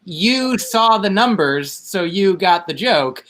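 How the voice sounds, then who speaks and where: A man's voice: high-pitched, animated, slow pace, over a noisy crowd. A man, a game show contestant, earnestly over-explaining his own joke at length.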